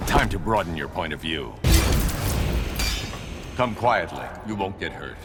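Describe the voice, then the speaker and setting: A grave, resolute video game superhero. He talks very low, with stern control. Loud music sits behind the lines. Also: lively intonation, measured pace.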